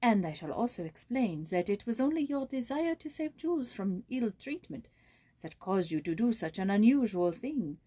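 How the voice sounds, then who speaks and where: A woman's voice: mid-range voice, very animated, normal speed, warm tone, voice pushed up. Woman playing a proper, kindly old madame. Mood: concerned, proper.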